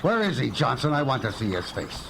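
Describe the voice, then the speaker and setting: A man's voice: mid-range voice, big pitch swings, fairly quick, creaky, background noise. An actor in a live radio drama playing a haughty, spiteful man with a sneering, pompous manner. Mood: indignant. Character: haughty, spiteful man feuding with his brother and neighbors. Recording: live radio recording with music.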